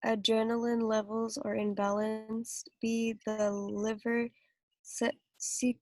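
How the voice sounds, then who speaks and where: A woman student reading a quiz question aloud in an online class, slow and hesitant. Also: fairly high pitch, level tone, dry recording, rough recording. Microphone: video call.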